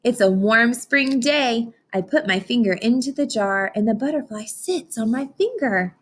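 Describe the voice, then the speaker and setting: A woman reading a children's book aloud like a teacher, expressive and instructive. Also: fairly high pitch. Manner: expressive, instructive, with dramatic pauses and hushed asides. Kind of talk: children's read-aloud with explanations and questions to listeners.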